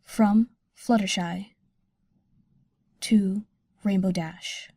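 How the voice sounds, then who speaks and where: A woman narrating from a script in short, measured announcements. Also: mid-range voice, fairly even intonation, dark tone, breathy edge, no room sound, studio quality.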